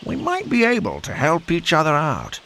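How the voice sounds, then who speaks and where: An actor playing a genial upper-class British wing commander in a video game, with a plummy British accent and jovial, courteous military slang. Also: male voice, on the high side, huge pitch swings, normal speed, sharp tone, a little hiss or hum, full character voice. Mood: jovial, encouraging. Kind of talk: video game cutscene acting.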